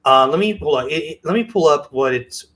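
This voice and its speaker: A man co-hosting a podcast in casual show-and-tell chat, halting and interrupting himself as he presents. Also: fairly high pitch, animated, fairly bright tone. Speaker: podcast co-host.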